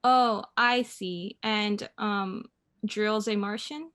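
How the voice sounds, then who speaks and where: A young woman playing a patient, indulgent mother in a radio play, gently chiding and mildly amused. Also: medium pitch, fairly even intonation, very slow.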